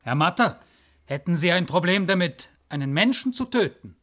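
A man's voice: sits high, lively intonation, moderate pace, fairly bright tone, soft background music. An actor playing a formal, insistent official pressing his questions in a radio drama.

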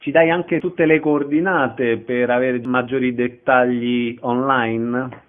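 A man's voice: mid-range voice, steady tone, slow. A man hosting a radio interview. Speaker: radio host interviewing guests. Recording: narrow phone-like radio broadcast sound.

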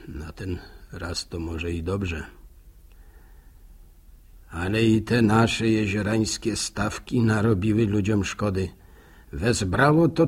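Husky, folksy village storyteller. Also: male voice, lowish voice, darkish tone, exaggerated voice. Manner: folksy, reflective, proverb-laden rambling. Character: folksy village man narrator, musing, neighbourly, plain-spoken. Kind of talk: radio drama monologue in rustic storytelling.

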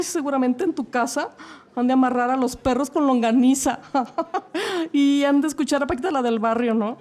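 A woman's voice: high-pitched, a little mellow. A woman with a Mexico City accent playing a snobbish, mocking young woman who drawls and drops English words, giggling as she talks. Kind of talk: comedic radio play acting.

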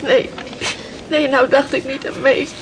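A fisherman's daughter in an old radio drama, her voice high and full of grief. She speaks plainly and haltingly, and the recording is clearly noisy. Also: level tone. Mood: sorrowful, haunted. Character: grieving fisherman's daughter remembering her drowned brother. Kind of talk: Dutch radio play acting.

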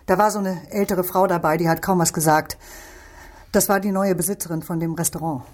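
An actress playing a brothel madam in a German radio crime drama, her voice husky and breathy. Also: lowish voice, steady tone, brisk, darkish tone, natural voice. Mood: frightened. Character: brothel madam, sultry saleswoman, frightened when pressed.

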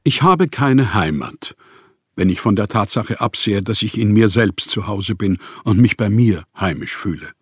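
Homeless, proud literary man in radio play. Rough-edged, reflective, melancholy, dry studio sound. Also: lowish voice, animated, measured pace, no echo. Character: melancholy, proud, rootless Austrian writer, man. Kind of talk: autobiographical radio play monologue.

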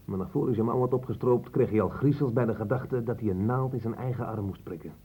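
Man acting a raspy, sharp-tongued police inspector. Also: medium pitch, fast talker, lightly acted. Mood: sardonic. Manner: sardonic, pointed interrogation. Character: blunt, sharp-tongued male police inspector, sceptical and accusing. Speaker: actor in a radio play. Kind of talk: Dutch radio drama acting.

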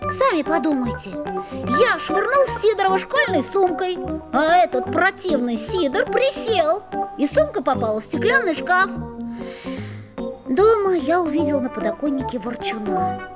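A woman playing an excitable, mischievous schoolboy who tells his own adventure expressively in a children's audio play. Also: very high-pitched, moderate pace, over loud music. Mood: dismayed, indignant.

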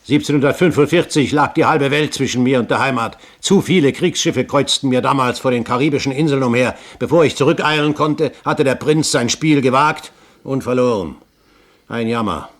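A man's voice: medium pitch, normal speed, close to an everyday voice. Man acting older pirate captain, aristocratic, pompous, cunning, eloquent, theatrical 1970s German radio play delivery. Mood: boastful, regretful.

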